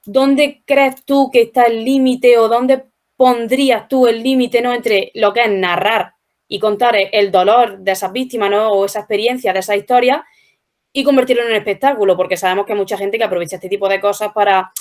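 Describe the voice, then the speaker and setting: A woman's voice: fairly high pitch, fairly even intonation, fairly quick. A woman with an accent from Spain hosting an interview, asking long, tentative questions dotted with tags. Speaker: interviewer, host. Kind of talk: conversational interview.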